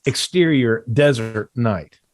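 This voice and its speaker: A man with a mid-pitched voice narrates horror stage directions. The sound is dry and close, with no room around the voice. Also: takes it slowly, no echo. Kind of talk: scripted narration of a horror screenplay.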